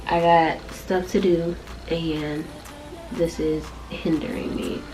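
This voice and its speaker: Congested young woman, low voice. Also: level tone, takes it slowly, a little mellow, low-quality recording. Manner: chatty, rambling, informal. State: congested, nasal from allergies. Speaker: vlogger. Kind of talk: casual chat to camera.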